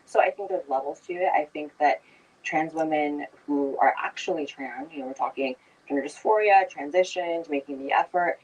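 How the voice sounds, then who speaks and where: Brief: emphatic debater, mid pitch, distant mic. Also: moderate pace, dull audio. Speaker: debate participant. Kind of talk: heated debate.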